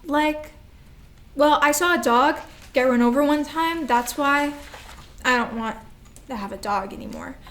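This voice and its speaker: A woman playing an anxious, confused little girl with a hesitant, halting delivery in a staged drama reading. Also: high voice, fairly bright tone. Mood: anxious, confiding. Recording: roomy, echoing stage recording.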